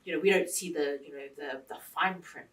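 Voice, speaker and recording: A woman's voice: low voice, a little fast, far from the mic. A woman from New hosting a studio talk, interjecting often and restarting as she builds her points. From New Zealand. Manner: frequent backchannels, restarts when building a point. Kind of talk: studio discussion.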